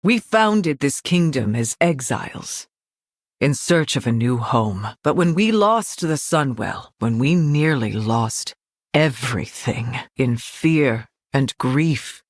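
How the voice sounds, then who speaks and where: A woman playing a devout, regal elven leader in a fantasy game, speaking with measured, earnest weight. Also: rumbling low, animated, dry recording, studio quality, heavily acted. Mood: solemn resolve. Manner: slow, measured, earnest oration.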